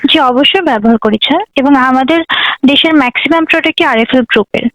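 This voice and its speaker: A woman's voice: high voice, quick pace, a bit bright, dry recording, rough recording. A young Bangladeshi woman working as a customer service agent, speaking in a polite, formulaic, formal way on the phone.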